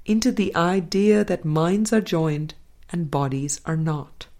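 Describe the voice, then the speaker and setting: A woman reads a spiritual book aloud in an unhurried, deliberate way, stopping to comment between passages. Her voice sits low, and her phrases often fade to a soft, quiet close. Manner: slow, deliberate, soft-spoken with quiet trailing endings. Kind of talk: measured audiobook-style reading with commentary.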